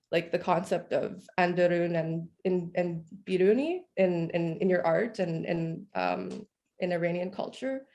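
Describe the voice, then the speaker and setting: Young woman moderator with gravelly low voice. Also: a little mellow. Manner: casual, thoughtful, filler "like". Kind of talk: panel moderation.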